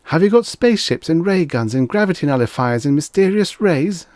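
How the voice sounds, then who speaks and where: A man voicing an excited, eager small boy with a piping treble and boastful bravado, as in an audiobook story. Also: on the high side, fairly quick, dry recording, studio quality, voice pushed up, natural voice. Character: excited, eager small boy, earnest space-adventure fan. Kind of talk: audiobook character voice.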